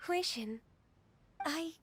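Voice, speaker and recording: An actress voicing a gentle, dutiful secretary who speaks softly and earnestly. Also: female voice, extremely high pitch, expressive, drawn-out words, exaggerated voice. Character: gentle, dutiful young-seeming woman secretary, centuries old. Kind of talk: video game voice acting.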